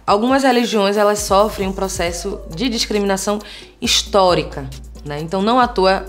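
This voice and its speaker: Bahian woman vlogging, earnest and chatty. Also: medium pitch. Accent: Bahian Portuguese. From Salvador, Bahia, Brazil. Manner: warm, earnest, conversational, frequent tag questions. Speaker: vlogger, content creator. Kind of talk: personal vlog talk to viewers.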